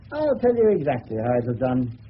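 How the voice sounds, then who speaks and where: Sardonic English policeman, animated pitch. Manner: mocking, drily elaborate. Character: sardonic, facetious male English police officer. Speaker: police detective. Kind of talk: 1970s British radio drama acting. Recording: dull old radio broadcast, faint noise.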